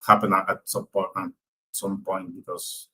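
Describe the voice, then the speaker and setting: Husky low-voiced man, speaking haltingly. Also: completely flat delivery, sharp tone. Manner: hesitant, halting, searching for words. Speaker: member of a job-search coaching group. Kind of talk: casual, reflective personal sharing.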